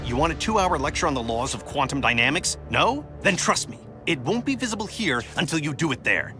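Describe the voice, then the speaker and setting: An actor playing an impatient male scientist hero in a video game, barking brisk orders over the radio. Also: fairly high pitch, sing-song. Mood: commanding. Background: loud game music.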